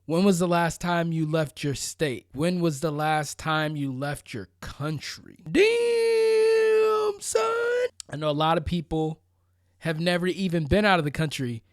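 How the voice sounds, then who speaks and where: Higher-voiced American man, dry close sound. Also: steady tone, normal speed, no room sound. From Florida, United States. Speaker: vlogger. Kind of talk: casual motivational monologue.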